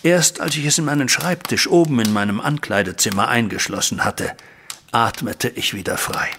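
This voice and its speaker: Actor as formal, anxious banker, creaky bright voice. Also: male voice, medium pitch, normal speed, a little hiss or hum, close to an everyday voice. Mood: earnest, uneasy. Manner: formal, wordy, deferential. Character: anxious, respectable male banker recounting his troubles. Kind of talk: audio drama acting, narrating a flashback.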